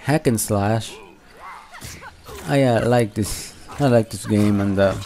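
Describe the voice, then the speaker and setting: A man giving casual, unscripted gameplay commentary as a channel host, talking in a halting, conversational way. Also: lowish voice, warm tone, a little hiss or hum. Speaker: video game review channel host.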